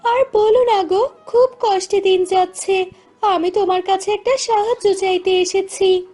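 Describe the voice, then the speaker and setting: Woman doing a poor village wife in a cartoon, super high voice, pleading and worried, faint music behind. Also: fairly even intonation, unhurried, dark tone. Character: poor village grocer's wife, anxious and hardworking.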